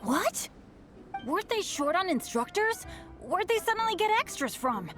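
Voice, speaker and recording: A woman voicing an unlucky teenage boy adventurer in an animated video game, earnest, eager and apologetic. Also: extremely high pitch, moderate pace, music in the background. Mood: earnest, rueful.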